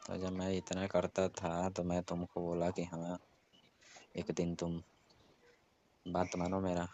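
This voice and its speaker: A man's voice: sits low, monotone, takes it slowly, dark tone, a little hiss or hum. A man, speaking in a pressuring, domineering way in a private phone conversation. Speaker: employer on a call with worker. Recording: muffled phone call recording.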